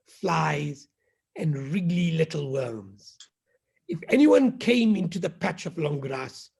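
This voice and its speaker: A middle-aged man with a gruff, scratchy voice, reading a children's story aloud and chatting with the kids. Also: sits high, darkish tone. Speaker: university head reading to children.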